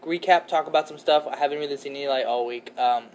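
A man's voice: a little high, fast talker, slight background noise. A man in his twenties co-hosting a podcast, chatting in a laid-back, rambling way with lots of fillers and casual swearing. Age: 20s. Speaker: podcast co-host. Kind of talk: casual chat.

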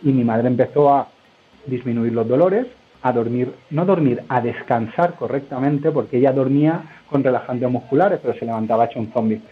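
Husky man on a tinny phone line. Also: mid-range voice, normal speed. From Spain. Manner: loose, rambling, lots of hesitations. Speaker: guest entrepreneur. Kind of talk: conversational interview. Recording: narrow phone-line sound.

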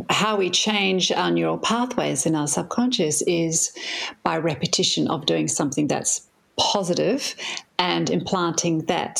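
Husky, gravelly woman therapist. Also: on the low side. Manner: expressive, explains with enthusiasm. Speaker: therapist guest. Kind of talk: podcast interview.

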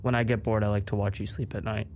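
A man's voice: on the low side, flat delivery, fast talker, a little mellow, dull audio. An eighteen-year-old man from Rhode Island chatting casually and jokingly as co-host. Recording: faint background noise, room ambience.

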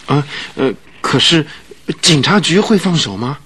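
An actor playing an earnest, determined young husband in a Chinese radio drama. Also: male voice, a little high, lively intonation, measured pace, pushed and bright, slightly rough, full character voice. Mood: hesitant.

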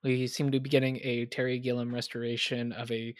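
Relaxed man on a film podcast, dry sound. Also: mid-range voice, brisk, clean tone, dry recording. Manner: enthusiastic, relaxed, with frequent ums. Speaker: podcast co-host. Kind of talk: casual film podcast chat.

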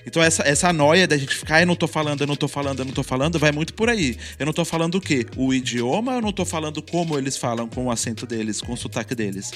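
A Brazilian man with a Brazilian Portuguese accent and a fairly high voice talks in a relaxed, reflective way, often restarting his sentences. Faint music plays underneath the conversation. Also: rapid-fire, few pauses. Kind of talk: casual chat.

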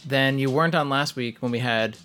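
A man hosts a casual podcast, rambling with plenty of fillers and teasing his co-host. His voice sits at an ordinary pitch. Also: a little fast, sharp tone. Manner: chatty, many likes and fillers, teasing.

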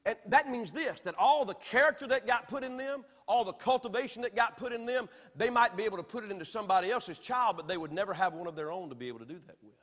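Southern preacher with a high voice. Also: male voice, lively intonation, fast talker, few pauses, big-room sound. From Alabama. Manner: emphatic preaching with sudden swings between loud and soft. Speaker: pastor. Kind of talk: sermon. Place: church sanctuary.

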